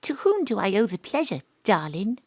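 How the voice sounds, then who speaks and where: An actress playing a wry, brisk female amateur detective who narrates and questions with dry asides, recorded from a home studio. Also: mid-range voice, very animated, a little mellow, husky, no echo. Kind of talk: live remote audio drama acting.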